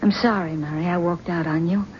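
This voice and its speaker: An actress playing an anxious middle-aged wife who speaks in a halting, pleading way. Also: female voice, on the low side, fairly even intonation, slight background noise, close to an everyday voice. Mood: regretful. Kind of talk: 1970s radio drama acting. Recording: dull vintage radio broadcast.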